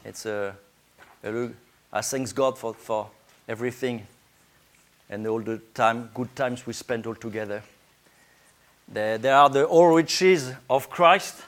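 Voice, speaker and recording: Slow-speaking man with a French accent, preaching. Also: mid-range voice. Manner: halting, searching for words in English. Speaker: guest preacher. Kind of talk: informal sermon to a congregation.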